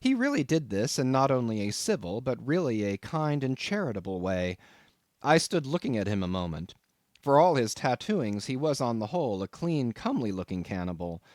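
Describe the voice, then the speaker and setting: A man's voice: medium pitch, sing-song, moderate pace, a little mellow, dry recording, studio quality. A man reading a literary novel aloud as scripted audiobook narration. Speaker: audiobook reader.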